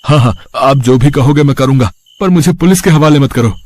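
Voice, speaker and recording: A man's voice: medium pitch, brisk, darkish tone, natural voice. Man playing a grumbling, luckless village thief. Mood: worried, pleading. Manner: grumbling, self-pitying. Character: sullen, luckless village thief, easily frightened husband. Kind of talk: Hindi moral-story cartoon voice acting.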